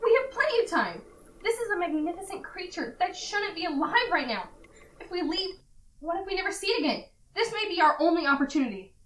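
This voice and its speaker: A woman playing an excitable, stubborn marine scientist diver in an amateur audio drama, earnest and insistent. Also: very high voice, a little fast, exaggerated voice. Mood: insistent, awed. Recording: distant mic, heavy background noise.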